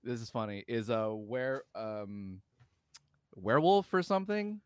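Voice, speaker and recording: Male podcast co-host: opinionated, exasperated and emphatic, swears freely, average pitch, close dry recording. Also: lively intonation, a little slow, darkish tone, smooth, no echo. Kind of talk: casual opinionated film discussion.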